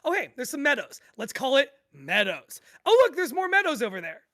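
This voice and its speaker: A woman's voice: sits high, big pitch swings, brisk, a bit bright. A woman playing an amused, exasperated sports-fan co-host in a scripted podcast table read, chatting casually and swearing freely. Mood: giddy amusement. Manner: conversational, amused, giggly, sweary. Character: animated, exasperated sports-fan co-host recounting an absurd endless football game.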